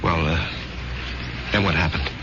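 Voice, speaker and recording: An actor playing a quiet, brooding American businessman, mild and halting, in a 1970s radio drama. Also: extremely low pitch, background noise. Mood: uneasy, puzzled. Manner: hesitant, halting, questioning. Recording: dull 1970s radio recording, music behind.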